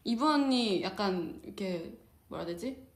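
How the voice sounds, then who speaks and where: Giggly young woman, lively and roomy. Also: mid-range voice, moderate pace. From South Chungcheong, Korea. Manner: giggly, animated storytelling. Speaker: co-host. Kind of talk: casual chat. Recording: roomy echo.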